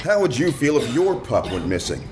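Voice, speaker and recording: A man's voice: medium pitch, normal speed, over music, close to an everyday voice. Man acting a wry private eye, swinging intonation. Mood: wry, persistent. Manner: deadpan wordplay. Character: wisecracking hardboiled male private detective, dry and wry. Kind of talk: noir radio drama acting with narration.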